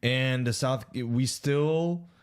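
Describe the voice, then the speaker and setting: A man's voice: mid-range voice, extremely slow, smooth. A man co-hosting a podcast, talking loosely and jokingly with lots of fillers. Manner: loose, joking, heavy use of like. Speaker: podcast co-host. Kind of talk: casual chat.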